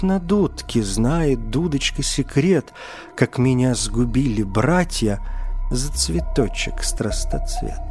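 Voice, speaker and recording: A man tells a folk tale in an expressive, folksy manner. His middle-pitched voice rises and falls widely and is a little husky, over faint music. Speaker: storyteller. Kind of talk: scripted fairy-tale narration.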